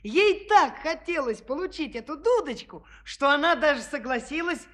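An actor playing a cheeky, cunning young shepherd who tells tales in a mock-innocent, teasing way in a children's audio play. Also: male voice, very high voice, animated, normal speed, sharp tone, heavily acted. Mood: teasing, amused.

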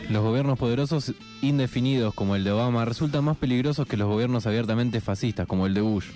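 A man's voice: medium pitch, fast talker. A man with an Argentine accent, a radio co-host reading and discussing the news. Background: soft guitar music.

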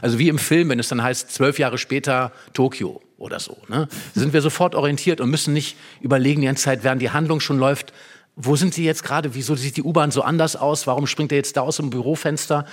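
A man's voice: mid-range voice, steady tone, fast talker. A man speaking as an expert guest, analysing literature conversationally and explaining with examples and small tag questions.

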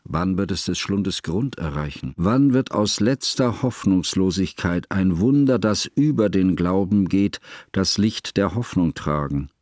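Deep solemn old scholar guy, mourning, formal slow-burn radio play narration, really dry close sound. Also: animated, a little mellow, no echo. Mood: anguished, solemn. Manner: measured, formal, elegiac. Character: grieving elderly scholarly German narrator, solemn and devout. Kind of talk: literary radio drama narration.

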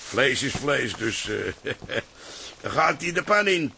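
A man's voice: medium pitch, big pitch swings, slow pace, creaky, noisy background, exaggerated voice. An actor playing an older, opinionated working-class father in a comic radio drama, blustering and muttering to himself. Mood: musing, pleased.

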